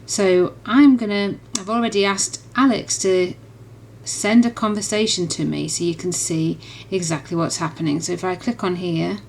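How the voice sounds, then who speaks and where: A woman's voice: lowish voice, steady tone, moderate pace, creaky, darkish tone, slight background noise. A woman reviewer in a casual, chatty and enthusiastic demonstration, rambling along as she explains. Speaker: app reviewer.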